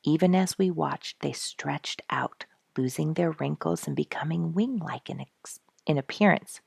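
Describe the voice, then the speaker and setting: A woman narrating a storybook from a script, expressive, with dramatic emphasis. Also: low voice, unhurried, creaky, breathy edge, no echo. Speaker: storyteller, show host.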